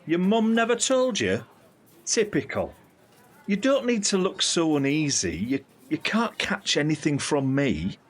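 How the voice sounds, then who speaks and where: An actor playing a candid, caring British uncle in his fifties in an audio drama, with a husky voice. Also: fairly high pitch, big pitch swings, a little slow. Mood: frank. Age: 50s. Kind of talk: audio drama acting, conversational monologue.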